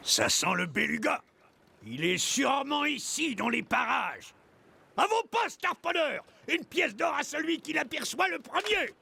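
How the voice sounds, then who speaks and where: An actor playing a fanatical old whaling captain in a French children's cartoon, gravelly and barking orders. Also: male voice, extremely high pitch, sing-song, unhurried, slight background noise, heavily acted. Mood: commanding. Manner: commanding, bellowing orders. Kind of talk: children's cartoon dub acting. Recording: loud music under the voice.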